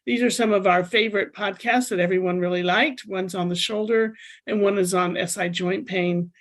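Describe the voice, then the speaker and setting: A woman's voice: medium pitch, moderate pace. A woman co-host casually announcing a podcast's summer rebroadcasts, with the occasional filler. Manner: relaxed, friendly announcements with fillers.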